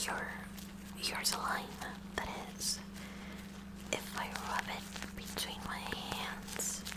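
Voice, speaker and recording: ASMR roleplay: female performer as nerdy, kooky science club president, fully whispered, unhurried, haltingly curious and awkward. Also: natural voice, low-quality recording. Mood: curious observing.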